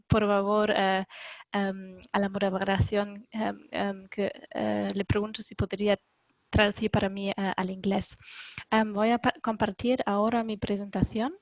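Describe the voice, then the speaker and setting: A woman's voice: mid-range voice, no room sound. Hesitant non-native woman presenting. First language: not Spanish. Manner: hesitant, many ums and self-corrections. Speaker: webinar presenter.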